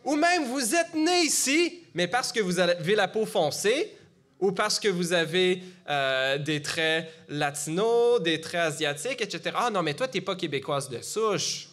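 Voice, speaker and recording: Quebecois guy preaching, kinda high voice, lots of euh and restarts. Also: expressive, unhurried, a bit bright. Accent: Quebec French. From Gatineau, Quebec. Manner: conversational, with frequent fillers and restarts. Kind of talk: sermon. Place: church.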